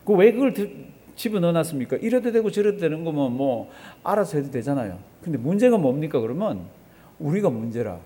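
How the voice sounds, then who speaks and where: A man's voice: fairly high pitch, very animated, brisk, dark tone. A male pastor preaching a sermon in a conversational way, putting rhetorical questions to his congregation and repeating phrases for emphasis. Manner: conversational, asks the congregation rhetorical questions and has them respond.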